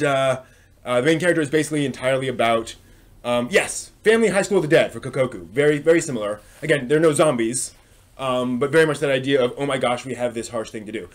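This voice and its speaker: Male live stream host, unscripted reviewer, mid-pitched, conversational, thinks aloud and rephrases as he goes. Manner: halting and discursive, circles back to rephrase. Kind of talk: casual unscripted review commentary.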